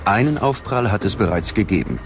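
Low-voiced male documentary narrator over music. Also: expressive, a little fast, vocal fry. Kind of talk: scripted dramatic documentary narration.

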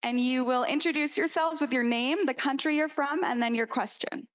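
A woman's voice: sits high, normal speed. A young woman hosting a stage event, welcoming and directing speakers. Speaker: event host.

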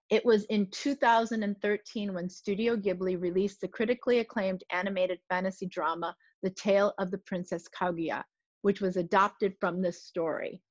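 Middle-aged woman as presenter, mid-pitched, scripted intro delivery, dry but slightly muffled home recording. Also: no room sound. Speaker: host introducing a guest reader. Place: living room at home. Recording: slightly dull, lo-fi sound.

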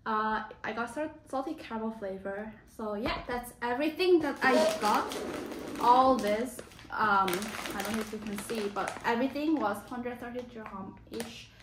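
A young woman talks casually to the camera as a lifestyle vlogger. Her voice sits a little high and her phrasing is informal, dotted with "like". The small kitchen gives a clear echo, with some noise behind her. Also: normal speed. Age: young adult. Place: small home kitchen, indoors. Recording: echoey room, clear background noise.

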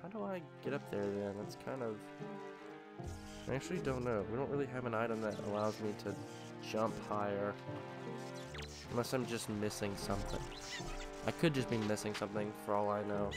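A man's voice: fairly low pitch, moderate pace, long pauses. Nasal man with game music behind. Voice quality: slightly nasal from allergies. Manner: rambling, thinking aloud with many ums. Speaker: let's play host. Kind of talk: casual gameplay commentary.

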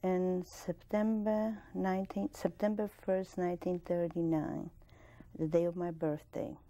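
Gravelly-voiced older Polish woman. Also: on the low side, little pitch movement. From Poland. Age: 60s. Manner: measured, plain-spoken, with soft laughs and restarts. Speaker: interviewee, Holocaust survivor. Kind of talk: oral history interview. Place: studio with dark curtain backdrop. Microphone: lapel mic.

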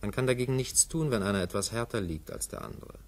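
A man's voice: lowish voice, lively intonation, quick pace. A man reading ironic, drowsy poetic interludes in a 1950s radio play. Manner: soft, ironic, drowsily complacent. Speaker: reader of interlude texts.

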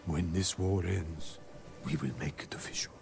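An actor playing a stern, proud older samurai lord, formal and commanding, in a dramatic video game. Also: male voice, deep voice, steady tone, moderate pace, creaky, slightly rough, full character voice. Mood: solemn pride. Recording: game scene with loud music behind.

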